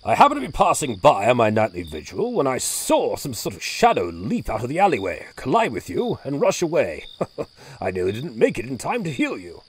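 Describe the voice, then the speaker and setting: A jolly, chuckling cleric. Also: male voice, mid-range voice, wildly swooping pitch, brisk, breathy edge. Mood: jovial. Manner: chuckling, jaunty exclamations. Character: jolly male cleric, hearty healer. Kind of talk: audio drama acting.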